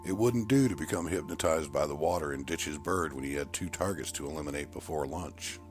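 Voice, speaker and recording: A man with a low, deep voice narrates a military action story. His delivery is scripted and dramatic, with measured, deliberate phrasing. Music plays behind him. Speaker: audiobook narrator.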